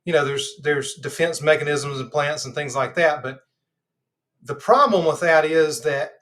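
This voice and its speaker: This is a man with a Southern drawl from Georgia, a little stuffy in the nose. He chats with his livestream viewers in a loose, meandering way, full of fillers, and his voice sits slightly higher than most men's. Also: normal speed. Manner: relaxed and rambling, many fillers. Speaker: host of a live health channel. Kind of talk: casual livestream chat.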